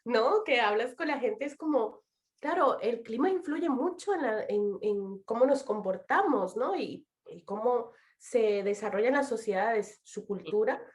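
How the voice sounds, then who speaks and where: A young Costa Rican woman speaks in a fairly high voice, taking her time over each thought. She tells her own story with a Costa Rican Spanish accent and frequent light laughs. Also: lively intonation. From Costa Rica. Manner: unhurried, laughs often, warm pauses. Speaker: interview guest. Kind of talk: relaxed personal storytelling.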